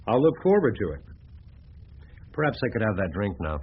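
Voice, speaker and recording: A cool younger schemer in a radio play. His voice is fairly low and assured, giving clipped instructions with total confidence. The broadcast sound is a little dull, with faint noise. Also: very animated, brisk. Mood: smooth, assured. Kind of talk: radio drama dialogue.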